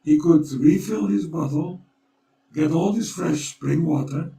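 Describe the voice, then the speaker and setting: A Dutch-accented man talks slowly in a gravelly, mellow voice. He is earnest and urgent, and circles back to repeat his points. He sounds set back from the mic in an echoey room. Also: on the high side, recorded from a distance, slight background noise. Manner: urgent, storytelling, repeats himself. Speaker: co-host. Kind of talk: earnest conversational appeal.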